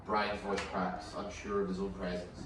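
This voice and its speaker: Male narrator for screenplay table read, deep register, distant and noisy recording. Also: completely flat delivery, moderate pace. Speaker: narrator reading stage directions. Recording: distant echoey room, clear background noise.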